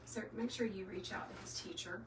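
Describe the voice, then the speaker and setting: A woman's voice: medium pitch, a little fast. A middle-aged woman with a Southern US accent, hosting a live craft tutorial and chatting casually and folksily with her viewers. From South Carolina, US. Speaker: craft tutorial livestream host. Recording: roomy, distant mic, faint noise.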